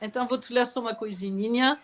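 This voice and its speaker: A woman author talking gently and reflectively as an interview guest, speaking with a non-native accent and a lingering cough. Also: fairly high pitch, steady tone, brisk, a little hiss or hum. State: has a cough. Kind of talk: conversational interview, reading aloud.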